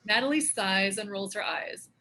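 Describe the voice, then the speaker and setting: A woman narrates a script's stage directions. Her voice is medium in pitch and bright, giving scene headings and actions as scripted narration. There is faint noise in the recording. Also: moderate pace.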